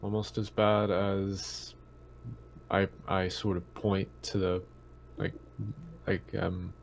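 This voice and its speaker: A man playing a tabletop roleplaying game, talking casually and haltingly as he searches for words. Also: low voice, little pitch movement, gravelly, a little hiss or hum, low-quality recording. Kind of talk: casual in-character game chat.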